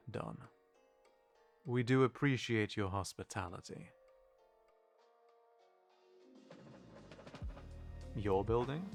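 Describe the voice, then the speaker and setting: A man playing a polite, diplomatic mafia twin in an audio roleplay, speaking formally and with measured courtesy. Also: on the low side. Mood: gracious, courteous.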